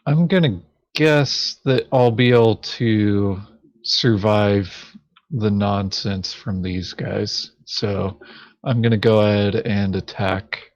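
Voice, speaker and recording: A man's voice: on the low side, slow pace, no room sound. A man talking casually and matter-of-factly while playing a tabletop game. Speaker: board game player. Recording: dull lo-fi sound.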